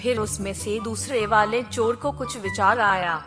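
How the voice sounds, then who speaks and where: A woman narrating a cartoon story in a steady, clear storytelling manner. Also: medium pitch, a little slow, music in the background.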